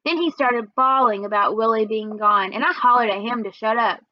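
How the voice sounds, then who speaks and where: Female storybook reader, lightly high voice, narrating for children on a close, slightly muffled recording. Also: normal speed, no echo. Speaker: read-aloud reader.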